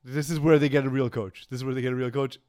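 A man co-hosting a casual sports talk show, rambling and laughing as he goes, often trailing off quietly. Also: medium pitch, fairly even intonation, quick pace. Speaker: podcast co-host.

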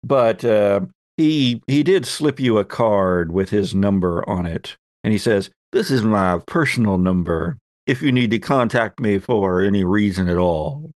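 A man's voice: on the low side, expressive, a little slow, dry recording, clean studio sound. A man hosting a tabletop roleplaying game as game master, narrating casually in an easygoing, chatty way.

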